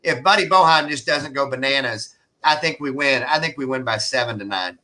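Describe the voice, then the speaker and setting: A man's voice: medium pitch, brisk, sharp tone. A man co-hosting a sports show, giving his picks in casual talk. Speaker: co-host, sports betting pundit.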